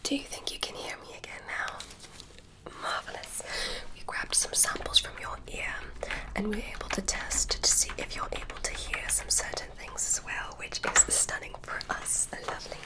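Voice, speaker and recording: A woman whispering as a curious alien doctor who examines a human, softly gushing with praise in a slow, soothing ASMR roleplay. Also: natural voice, low-quality recording. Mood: gentle, curious. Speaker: ASMR creator.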